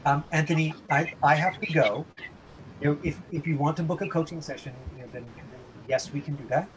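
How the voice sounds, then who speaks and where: A man teaching an online acting class informally, explaining in a halting, conversational way. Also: fairly high pitch, fairly even intonation, noisy background. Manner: halting, explanatory, frequent 'you know'. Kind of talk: informal lesson talk.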